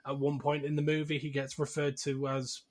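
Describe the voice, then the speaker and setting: A man's voice: a little high, completely flat delivery, brisk. A man hosting a podcast, talking through a film in an informal, wry and casual way. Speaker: podcast host. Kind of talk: casual film discussion and plot recap.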